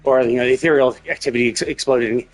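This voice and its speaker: A man's voice: medium pitch, steady tone, rapid-fire, poor audio. A man gives casual commentary on a game stream over faint background music. He talks in a rambling way, thinking aloud and swearing now and then as he explains things.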